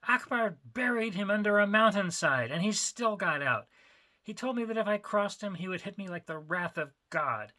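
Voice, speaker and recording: A man acts out a nervous little male art dealer from a storybook thriller. The voice is high, bright and forceful, a cheat who blurts everything out in a frantic, panicky way. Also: animated, moderate pace. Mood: nervous defiance. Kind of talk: dramatic story reading.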